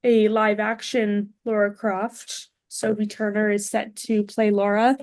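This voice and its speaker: A woman in casual conversation as a podcast panelist, discussing entertainment in a relaxed chat style. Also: medium pitch, a little slow, dry recording.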